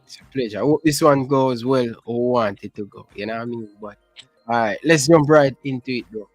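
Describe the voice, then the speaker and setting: A Jamaican man hosts a casual online interview with lively energy and patois slang. His voice sounds close and dry, with faint music in the background. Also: medium pitch, a little fast, no echo. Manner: energetic, hypes viewers with patois phrases.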